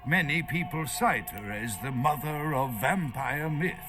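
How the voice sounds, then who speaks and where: Nervous, animated, high-voiced scholar. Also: male voice, a little slow, over music. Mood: scholarly, animated. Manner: lecturing, hesitant, searching for words. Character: nervous, erudite male foreign archaeologist held captive. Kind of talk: video game voice acting.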